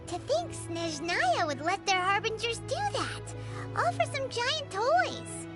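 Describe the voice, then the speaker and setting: A woman's voice: takes it slowly, exaggerated voice. A woman voicing a tiny, chirpy and bossy fairy companion in a video game. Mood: teasing. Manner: squeaky, excitable and scolding. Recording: game audio with loud music.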